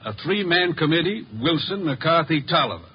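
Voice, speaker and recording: An actor playing a troubled, authoritative state governor, probing and urgent, in a 1950s radio drama. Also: male voice, on the high side, lively intonation, normal speed, breathy edge. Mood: concerned, probing. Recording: dull, noisy vintage radio recording.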